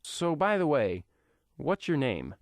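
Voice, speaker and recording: A man's voice: fairly high pitch, very animated, slow pace, dry recording, studio quality, pitched above the performer's own voice, full character voice. Man playing a bewildered teenage vigilante. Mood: curious, puzzled. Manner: bewildered, questioning. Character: confused amnesiac teenage male vigilante with electric powers.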